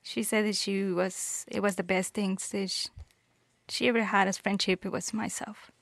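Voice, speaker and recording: A woman speaking unscripted in a halting, plainspoken, sincere way, as in an informal interview. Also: mid-range voice, level tone, vocal fry, fairly bright tone, no room sound. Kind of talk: unscripted street-interview style remembrance.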